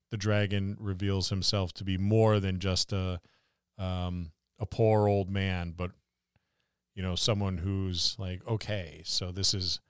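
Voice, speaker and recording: A man's voice: fairly low pitch, smooth, no room sound, clean studio sound. A man co-hosting a casual podcast discussion about tabletop roleplaying, musing aloud and building little imagined scenarios. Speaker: podcast co-host.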